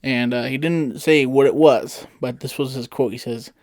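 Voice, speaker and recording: A man's voice: medium pitch, steady tone, normal speed, darkish tone, no room sound. A man with a mid-range voice hosts a casual conversation with friends. He sounds easygoing and jokey, and the sound is close and dry with almost no room. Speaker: podcast host. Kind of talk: casual group chat among friends.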